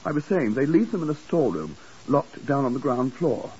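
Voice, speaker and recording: An actor playing an anxious, dithering English hotel keeper in a 1950s radio drama, stammering and fussy. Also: male voice, mid-range voice, big pitch swings, fairly quick, darkish tone, close to an everyday voice. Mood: fussy, chatty. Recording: muffled, hissy 1950s radio recording.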